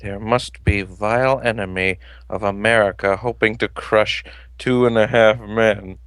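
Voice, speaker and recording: A man's voice: on the low side, fairly even intonation, unhurried, a bit bright, clean tone, dry recording. A man voicing an earnest, heroic male cartoon cat in an amateur fan fiction reading, with stiff, solemn delivery.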